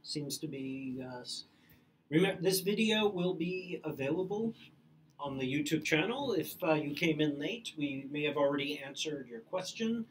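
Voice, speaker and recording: A man co-hosting a live-streamed question-and-answer session, talking casually and haltingly with many "uh"s. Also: a little high, animated, a little hiss or hum, rough recording. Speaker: company staff host answering questions.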